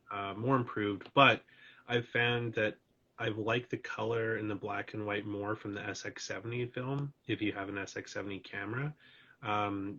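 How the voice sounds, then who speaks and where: A man's voice: on the low side, pushed and bright, muffled recording. A tired man, losing his voice, hosts a casual live stream chat, rambling loosely with lots of fillers. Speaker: host. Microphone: video call.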